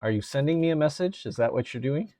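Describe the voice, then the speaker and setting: A man's voice: medium pitch, animated, fast talker, mellow, no echo. A man playing in a tabletop game, talking hesitantly and filling gaps with "like". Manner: hesitant, full of "like". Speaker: player. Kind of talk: casual tabletop game chat.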